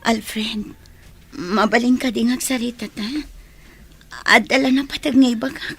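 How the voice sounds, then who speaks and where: Gentle, guilt-ridden young woman, higher voice. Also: fairly even intonation, normal speed, slightly rough, soft background music. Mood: pleading, tearful. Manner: soft-hearted, remorseful. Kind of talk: radio drama acting.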